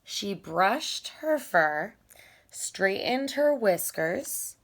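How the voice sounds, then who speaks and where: Woman, children's read-aloud, slow pace, very lively pitch, emphatic delivery. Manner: deliberate, emphatic storytelling. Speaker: teacher reading a picture book aloud.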